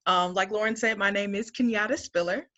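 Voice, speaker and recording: Woman in her 30s, recruiter presenting to students, earnest and upbeat, bright forceful voice, muffled lo-fi call audio. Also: medium pitch, fairly even intonation, brisk. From Montgomery, Alabama, US. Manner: upbeat and earnest, laughs lightly between points.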